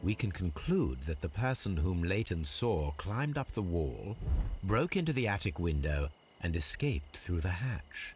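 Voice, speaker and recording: A man playing a calm, confident Victorian gentleman detective in a video game, with a British accent and a precise, formal manner. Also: low voice, a little slow, slight background noise. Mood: musing. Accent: British English.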